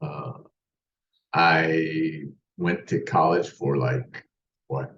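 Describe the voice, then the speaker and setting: A man's voice: very deep voice, fairly even intonation, very slow, fairly bright tone, a little hiss or hum. A man in his sixties, a podcast guest, telling his life story in a rambling way with many restarts. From United States. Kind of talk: casual interview storytelling. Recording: distant roomy muffled remote mic.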